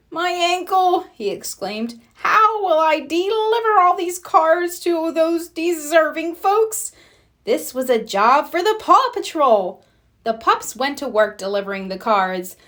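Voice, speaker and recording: High, animated woman reading to children. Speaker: storybook narrator. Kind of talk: expressive children's read-aloud.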